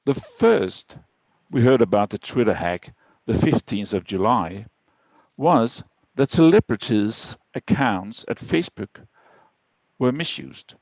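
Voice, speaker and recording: A man's voice: medium pitch, lively intonation, slow pace, vocal fry, a little mellow, no room sound, muffled recording. Danish-accented man giving a webinar talk. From Denmark. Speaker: webinar presenter.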